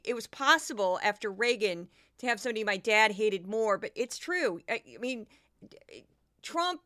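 A woman's voice: a little high, sharp tone, no echo, studio quality. A woman hosting a podcast, giving sarcastic, casual commentary between news clips. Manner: sarcastic, wry. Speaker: podcast host.

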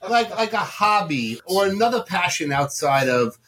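A man's voice: sits high, animated, moderate pace, a bit bright. A man hosting a casual interview, asking chatty, rambling questions with some emphasis. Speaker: podcast host, interviewer.

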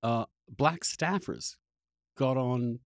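A man hosting a conversational political discussion, speaking emphatically and haltingly, often repeating words as he builds a point. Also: mid-range voice, no echo, clean studio sound. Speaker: podcast host interviewing a guest.

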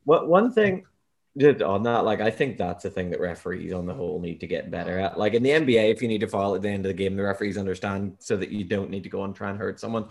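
A man with a very low-pitched voice co-hosts a sports podcast. He chats informally and offers opinions in everyday language. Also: fast talker, gravelly, darkish tone. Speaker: podcast host, wheelchair basketball player. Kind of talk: casual chat.

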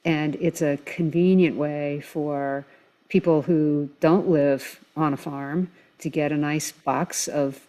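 An adult with a middle-range voice talks as an expert guest on a talk show. The delivery is conversational and explanatory, laying points out in a measured way with plain examples. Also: medium pitch, warm tone.

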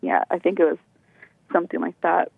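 A woman's voice: on the low side, big pitch swings, fairly quick, vocal fry, breathy edge, no echo. A woman from California calling in to a show, chatty and rambling, giggling as she talks over a phone line. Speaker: caller. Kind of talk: casual phone-in chat. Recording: tinny phone line, dry.

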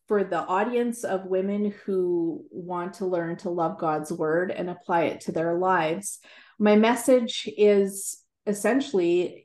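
A woman's voice: lowish voice, a little mellow, reverberant. A woman in her forties with a Canadian accent, a guest writer and Bible teacher talking earnestly in an interview and laughing easily. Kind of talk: interview conversation.

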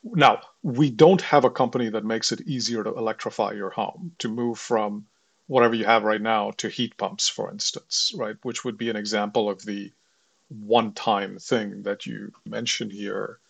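Casting: male podcast host, lowish gravelly voice, talks around a point conversationally before asking. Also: steady tone, normal speed, no echo. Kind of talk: conversational interviewing.

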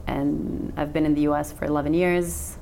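Low-voiced Macedonian-born woman. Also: gravelly, slight background noise. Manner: chatty and animated, quick laughs. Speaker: guest, sex researcher. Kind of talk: casual interview conversation.

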